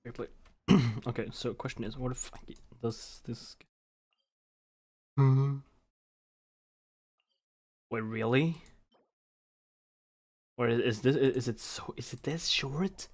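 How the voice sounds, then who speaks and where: A man's voice: medium pitch, takes it slowly, pauses often, darkish tone. A man giving casual live gameplay commentary, muttering to himself and swearing. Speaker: game streamer.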